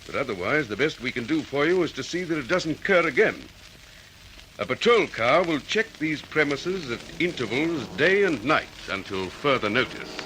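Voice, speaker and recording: Dogged, animated police detective. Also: male voice, a little high, normal speed, slight background noise, low-quality recording. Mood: resolute. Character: dogged male police detective, frank and methodical. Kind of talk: old-fashioned radio drama acting.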